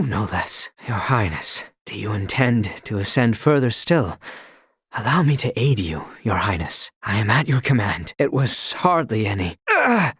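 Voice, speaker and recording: A proud and earnest young knight in a fantasy video game. He speaks in stiffly formal, courtly language at a middling pitch with animated swings in intonation. The sound is dry, with no room around it. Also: breathy edge, dry recording. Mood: pained, apologetic. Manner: formal, archaic courtly phrasing.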